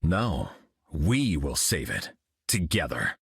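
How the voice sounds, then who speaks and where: An actor voicing a serene, solemn golden cosmic hero for a video game, speaking in a calm, measured, grave way. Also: male voice, very deep voice, gravelly, husky, no echo, clean studio sound, full character voice.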